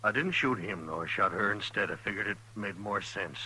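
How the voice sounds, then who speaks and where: A man playing a bitter, resigned, wounded gunman in an old radio western, with halting rustic speech and labored, husky voice. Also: lowish voice, bright, forceful tone, exaggerated voice, low-quality recording. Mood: bitter confession. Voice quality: husky, strained.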